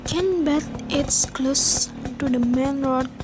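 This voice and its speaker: A woman's voice: sits high, slow pace, music in the background, rough recording. A young woman with an Indonesian accent playing a friendly student in an amateur radio drama, reciting her lines. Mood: helpful. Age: young adult. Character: friendly young female student organising a village trip.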